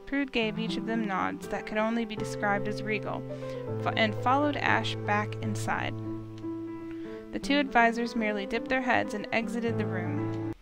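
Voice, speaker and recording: A woman narrates a scripted fantasy story in storybook style. Her voice is a little high, and music plays clearly behind her. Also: normal speed.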